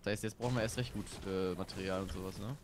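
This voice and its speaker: A man with a fairly low voice chats casually with teammates during a game. Clear background noise runs behind him. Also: fairly even intonation, fast. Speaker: squad player in a multiplayer shooter. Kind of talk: casual gaming chat.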